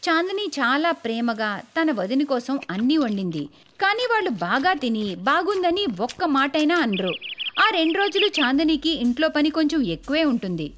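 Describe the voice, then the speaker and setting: A woman's voice: sits high, big pitch swings, normal speed, a bit bright, a little hiss or hum. A woman narrating a scripted moral story for a cartoon.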